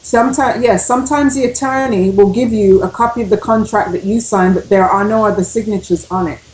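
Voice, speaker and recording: A woman's voice: mid-range voice, fairly quick, a little mellow, roomy sound, low-quality recording. A woman giving emphatic, step-by-step advice on a radio talk show, repeating her key points. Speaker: panelist giving legal advice.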